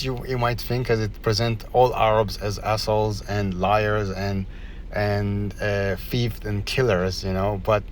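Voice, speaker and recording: A man with a heavy Israeli accent talks loosely and rambles, swearing now and then and stumbling over his words. His voice sits fairly low, with a faint hiss in the background. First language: Hebrew. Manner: rambling, profane, many restarts and fillers. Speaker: video reviewer. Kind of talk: casual reaction commentary.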